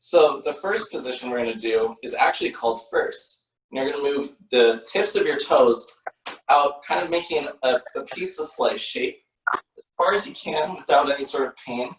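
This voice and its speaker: A man's voice: medium pitch, expressive, normal speed, fairly bright tone. A man, a dancer, explaining movements step by step, recorded from a distance in a roomy space. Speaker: ballet dancer demonstrating positions. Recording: roomy, distant mic with faint noise.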